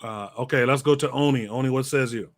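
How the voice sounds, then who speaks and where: A man hosting a podcast livestream, reading out viewer comments and calling on guests in a relaxed way. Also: medium pitch, quick pace. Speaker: podcast host. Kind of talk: moderating a livestream discussion.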